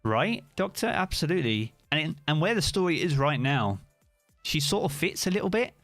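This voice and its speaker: A man's voice: fairly high pitch, moderate pace, dry recording, soft background music. Chatty British man streaming live. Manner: chatty, relaxed, answers chat by name. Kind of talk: casual live-stream chat reading viewer comments.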